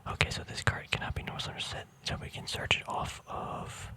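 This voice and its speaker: Whispering man, casual ASMR. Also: a little fast. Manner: hesitant, musing, trailing off mid-thought. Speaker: ASMR video creator showing a trading card deck. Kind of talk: whispered ASMR, casual rambling show-and-tell.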